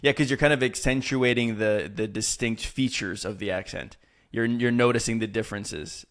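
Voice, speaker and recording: A man with a mid-range voice hosts the interview, asking curious follow-ups and pausing now and then on "um". He sounds engaged and conversational throughout. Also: mid-range voice, fairly bright tone. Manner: curious, asks follow-up questions, some hesitation fillers. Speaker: podcast host, interviewer. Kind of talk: interview questions.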